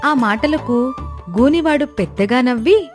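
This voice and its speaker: A woman narrating a scripted moral story in an expressive storytelling style. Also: high voice, unhurried. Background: background music.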